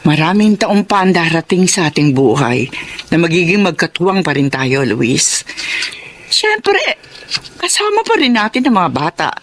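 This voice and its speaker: A woman with a Filipino accent acting a devoted middle-aged wife and mother in a radio drama, gentle and reflective. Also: fairly low pitch. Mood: reassuring. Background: clear dramatic background music.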